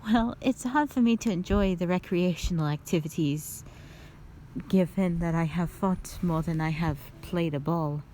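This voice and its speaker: A woman voicing a stern, weary young woman soldier with a formal, measured manner in an audio drama. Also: fairly low pitch, warm tone, a little hiss or hum, pitched below the performer's own voice, close to an everyday voice. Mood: dry, puzzled. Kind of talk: dramatic audio roleplay acting.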